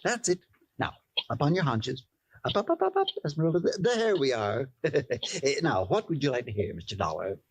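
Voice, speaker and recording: A man's voice: mid-range voice, very animated, normal speed, slightly rough, no room sound. An actor playing a grandiose, earnest male showman with a theatrical, self-important manner in old radio comedy-drama style. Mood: coaxing. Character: grandiose, earnest showman who trains singing mice.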